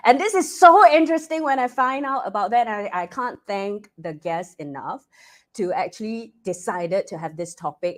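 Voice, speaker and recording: A woman with a Malaysian accent, hosting an online talk show in an energetic, animated way. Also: fairly high pitch. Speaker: host.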